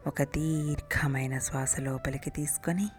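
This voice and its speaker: Female meditation guide, notably low pitch, hushed breathy soothing delivery over a music bed. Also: steady tone, measured pace.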